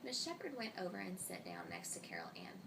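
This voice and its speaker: Female storybook reader, expressive, gravelly fry, recorded at a distance through heavy noise. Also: lowish voice, fairly quick. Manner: expressive, voices the dialogue of characters. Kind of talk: children's story read-aloud. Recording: distant mic, loud noise, clicks.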